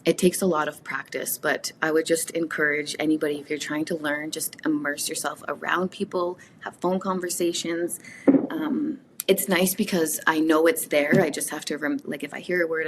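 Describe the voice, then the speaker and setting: A woman from Canada whose first language is Cree, speaking reflectively as an interview guest, with frequent pauses and restarts. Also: low-pitched, flat delivery, slight background noise. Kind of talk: casual conversational interview.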